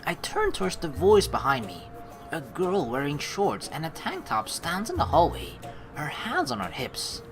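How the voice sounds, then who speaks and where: A young woman reading a visual novel aloud with joking, swearing asides, animated and quick to laugh. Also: deep voice, music in the background. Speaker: let's play streamer reading a visual novel.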